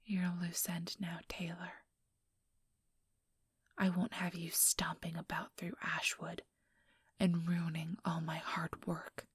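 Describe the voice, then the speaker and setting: Female vampire schemer played by a woman, ASMR role-play, heavy breathy whisper, slow taunting delivery, coldly menacing, ambitious, mock-sweet. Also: fairly low pitch, monotone, no room sound, studio quality, full character voice. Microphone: close, dry microphone.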